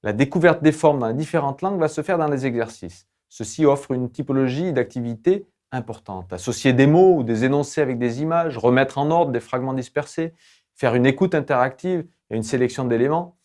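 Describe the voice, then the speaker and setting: A man delivers scripted educational narration as an online course lecturer, in a measured, formal, didactic manner. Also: mid-range voice, darkish tone.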